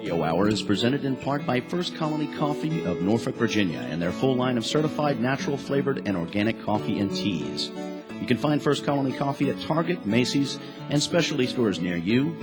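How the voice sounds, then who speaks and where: An American man reading a scripted sponsor announcement for a radio show. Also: medium pitch. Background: guitar music.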